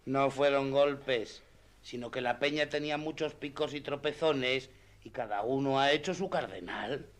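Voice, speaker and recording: A man's voice: mid-range voice, unhurried, lightly acted. A man playing a talkative, earthy squire in a radio play, folksy and self-important as he explains things. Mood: evasive, sore. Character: talkative, earthy squire, boastful of his master. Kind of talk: radio theatre acting.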